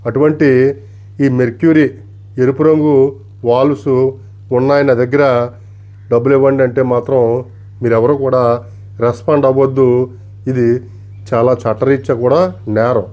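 A man giving an unscripted demonstration, explaining deliberately and politely in short, broken phrases. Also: mid-range voice, fairly even intonation, dark tone, highs cut off. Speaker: antique radio collector and presenter.

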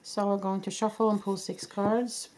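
A woman reads tarot cards at a candlelit table indoors, her voice worn from a cold. She speaks gently and reassuringly, with many fillers and small restarts. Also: mid-range voice, level tone, moderate pace, slight background noise. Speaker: tarot reader. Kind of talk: casual pick-a-card tarot reading. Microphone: camera above a table, hands only.

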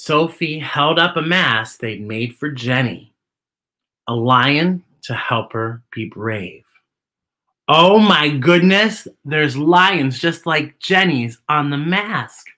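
A middle-aged American man reads to children as a storytime host. The bright voice swings widely in pitch, with theatrical exclamations and stretched-out emphasis. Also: expressive, takes it slowly. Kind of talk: children's storytime read-aloud.

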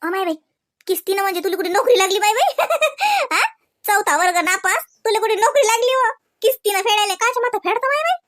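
A woman voicing gossipy, excitable village housewives in a comedy cartoon, exclaiming and teasing in rustic Varhadi Marathi. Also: very high voice, fairly quick. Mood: astonished, mocking. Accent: Varhadi Marathi from Vidarbha. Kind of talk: colloquial comic cartoon dialogue.

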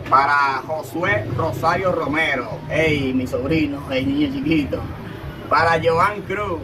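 A Dominican man in his thirties reading shout-outs to viewers off his phone, filmed outdoors by a barred window. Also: on the high side, rough recording. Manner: slow listing of names, reading off a phone. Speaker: channel host.

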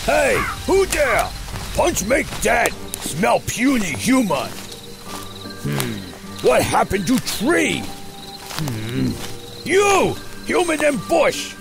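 A man's voice: very high-pitched, wildly swooping pitch, slow pace, gravelly, music in the background, heavily acted. An actor playing a big, dim, childlike mutant brute who loves cooking and speaks in blunt, broken caveman grammar in an audio drama. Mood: menacing.

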